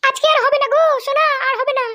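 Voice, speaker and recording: Squeaky, very high flirty cartoon woman. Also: little pitch movement, fast, noisy background. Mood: coy, apologetic. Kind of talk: exaggerated comedy cartoon voice-over.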